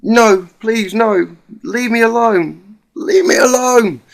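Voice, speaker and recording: A man's voice: extremely high pitch, slow, creaky, voice pushed up, exaggerated voice. A man voicing a terrified young female pegasus pony, stammering, pleading and breathless with distress as she pushes help away. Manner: stammering, pleading, repeating words. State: panicked, choking, breathless.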